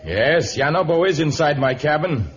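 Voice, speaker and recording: An actor playing a calm, firm jungle hero in an old radio adventure, with measured, correct speech. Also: male voice, on the high side, natural voice. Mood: firm, concerned. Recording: muffled old radio recording, faint noise.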